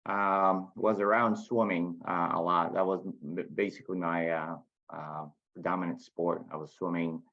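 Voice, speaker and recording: A man from Spain, a former racer, speaking as an interview guest in a halting way, searching for words. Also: sits low, little pitch movement, muffled recording. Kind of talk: casual interview.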